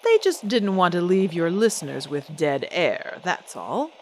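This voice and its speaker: A woman's voice: lowish voice, very animated, measured pace, a little mellow. A woman playing a poised, defiant celebrity singer in an old-time radio drama, speaking with composed, pointed, genteel diction. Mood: evasive, resolute.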